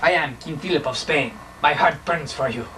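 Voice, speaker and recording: A man's voice: fairly high pitch, moderate pace, bright, forceful tone. A teenage boy playing a pompous foreign king who courts a queen, speaking stiffly. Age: teens. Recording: distant mic, noisy room.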